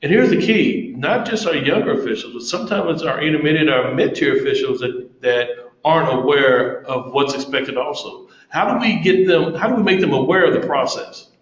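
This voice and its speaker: A man's voice: on the high side, lively intonation, a little fast, few pauses. A man moderating a group interview over a conference call, courteous and affirming, with a roomy echo on his voice.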